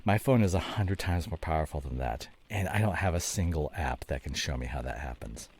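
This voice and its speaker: A man acting a devoted, remorseful husband in an intimate ASMR roleplay drama, soft and halting, with a husky voice. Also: a bass voice, lively intonation, heavily acted. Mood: casual, teasing. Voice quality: husky, gravelly, often breathy. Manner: soft, halting, drops into whispers. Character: remorseful, devoted husband nursing his injured wife, teasing.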